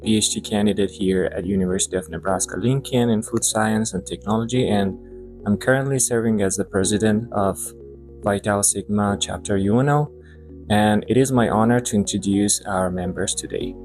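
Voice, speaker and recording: Male student leader from Iran, fairly low voice, self-introduction. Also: moderate pace, a little mellow, soft background music, rough recording. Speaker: student chapter president.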